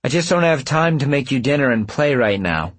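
A man's voice: medium pitch, lively intonation, normal speed, slightly rough, no echo, voice pushed up. A man narrating a story, voicing a busy, snappish young woman who runs a restaurant and talks in an impatient, defensive way. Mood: defensive, stressed.

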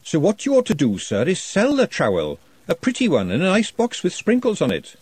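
A man voicing a brisk, practical woman who runs a kitchen, in a storybook reading, matter-of-fact. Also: high voice, huge pitch swings, darkish tone, breathy edge, dry recording, voice pushed up, heavily acted.

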